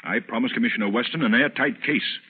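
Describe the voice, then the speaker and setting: An actor in a 1930s radio drama playing a suave, determined gentleman sleuth, urbane and assured. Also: medium pitch, fast talker, lightly acted. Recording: noisy, tinny 1930s radio recording.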